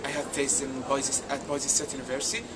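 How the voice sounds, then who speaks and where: A man from Saudi Arabia whose first language is Arabic, speaking English with a Saudi Arabian accent, answering an interview haltingly with many pauses. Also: mid-range voice, little pitch movement, far from the mic. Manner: hesitant, many pauses and fillers. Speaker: student. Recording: loud background noise.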